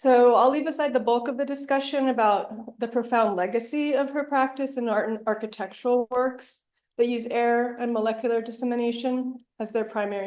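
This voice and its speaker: A woman giving an academic lecture, a little hoarse from bronchitis, thoughtful and pausing often with ums. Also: fairly high pitch, a little mellow. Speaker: guest lecturer. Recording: echoey lecture room.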